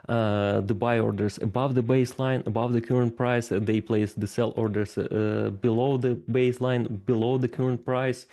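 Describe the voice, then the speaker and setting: A man's voice: mid-range voice, dark tone. A man giving a technical conference talk, thinking aloud and explaining ideas step by step. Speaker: conference speaker. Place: event stage.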